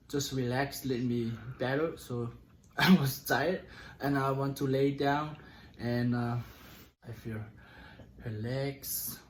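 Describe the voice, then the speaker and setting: A man with a Samoan accent chatting casually with his partner on a vlog, in halting, simple phrasing. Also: medium pitch, a little hiss or hum, low-quality recording. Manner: halting, simple non-native English phrasing. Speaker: vlog co-host.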